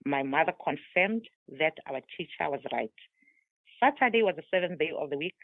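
A South African woman with a lowish voice tells her life story slowly and deliberately. Her first language is Tswana. She comes through a dry, tinny phone line. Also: lively intonation, no echo. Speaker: guest author. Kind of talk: remote interview, telling her life story.

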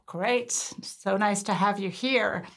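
A woman's voice: medium pitch, slow pace, creaky, a bit bright. A woman hosting a university podcast, asking curious questions in a friendly way with some fillers. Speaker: podcast host, recruitment manager.

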